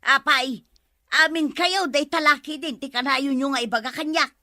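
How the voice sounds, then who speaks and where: A woman's voice: sits high, moderate pace, pushed and bright, no room sound, clean studio sound. A woman playing a stubborn, evasive villager who owes money in a comedy audio drama, defensive and whiny with an Ilocano accent.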